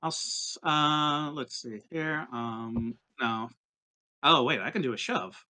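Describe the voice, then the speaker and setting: A man's voice: a little high, takes it slowly, bright, forceful tone. A man playing a tabletop roleplaying game, hesitantly working out his moves aloud in casual chat.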